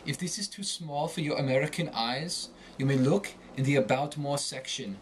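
A man giving a scripted lesson in a deliberate, theatrical way with emphatic enunciation. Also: medium pitch, a little hiss or hum, rough recording. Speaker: accent coach. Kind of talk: scripted accent lesson with example monologue.

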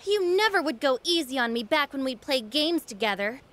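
High, bright, plucky young rebel woman. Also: expressive, brisk. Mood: defiant. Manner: earnest, defiant. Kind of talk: video game voice acting.

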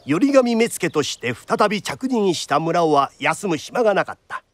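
A man's voice: high-pitched, sing-song, normal speed, dry recording, soft background music. A man narrating a radio drama as a theatrical storyteller with polite phrasing.

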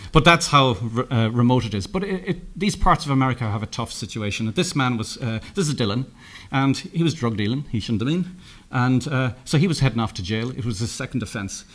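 A middle-aged man with an Irish accent speaks from a lectern in a conference room. He tells stories in a loose, anecdotal way, stopping often to restart and correct himself. His voice sits in an ordinary middle pitch. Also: expressive, fairly bright tone. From Ireland. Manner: anecdotal, halting with many restarts and self-corrections. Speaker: keynote speaker. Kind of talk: conference keynote talk.

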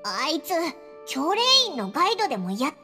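A woman's voice: high voice, sing-song, fast, music in the background. A woman voicing a cheeky, childlike little fairy companion with blunt childish speech in an anime game. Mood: cheeky, curious.

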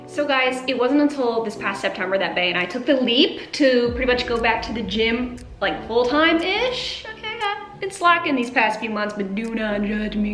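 Young woman vlogger, chatty and slangy, higher voice, echoey kitchen with music underneath. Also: fairly bright tone. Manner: bubbly, slangy, talks straight to camera. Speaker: fitness vlogger. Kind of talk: casual chatty product rundown. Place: bright indoor home kitchen.

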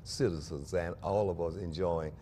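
An older man, a pastor, speaking earnestly and at a measured pace in a studio interview on a lapel mic, with small restarts. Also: deep voice, background noise. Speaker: pastor and convention guest.

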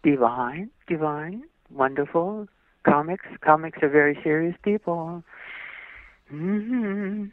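A woman's voice: deep voice, no room sound. An older woman speaks graciously, stretching her words and affirming with frequent yeses. Her voice comes down a thin, tinny phone line. It sounds dry, with no room around it. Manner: gracious, drawn-out, affirming yes often. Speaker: guest actress. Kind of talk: interview recollection.

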